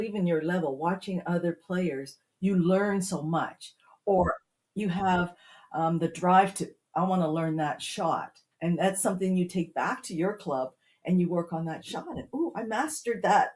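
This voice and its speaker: A woman's voice: lowish voice, darkish tone. A woman guest on a podcast, a mother talking cheerfully and laughing often about cheering her kids on. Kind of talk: casual interview chat.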